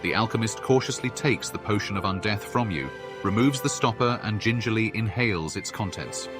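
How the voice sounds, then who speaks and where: A man's voice: lowish voice, brisk, over music, lightly acted. A man reading scripted second-person narration for a fantasy game.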